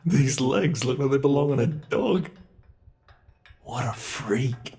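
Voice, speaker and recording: Casting: cruel monster, played by a man, unhurried, mocking delivery, medium voice, for an ASMR horror roleplay. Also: mid-range voice, gravelly, a little mellow. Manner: slow, taunting, relishing cruelty. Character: sadistic male monster that reshapes its captive.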